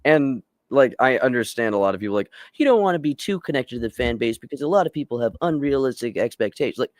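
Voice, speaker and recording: A man's voice: mid-range voice, expressive, quick pace, no echo. A man co-hosting a casual live discussion, opinionated and emphatic, swearing freely as he makes his points. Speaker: podcast co-host.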